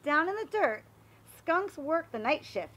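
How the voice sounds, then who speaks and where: Bright, high-voiced woman reading to children. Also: unhurried. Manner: animated, addressing young children with questions. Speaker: read-aloud storyteller for children. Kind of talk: expressive picture book read-aloud with explanatory asides.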